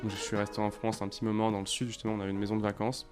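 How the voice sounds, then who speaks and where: A man in his thirties, from Côte d'Ivoire, co-founder of a sneaker brand, chatting casually and relaxed in an interview, with lots of fillers and restarts. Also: fairly low pitch, rapid-fire, over music. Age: 30s.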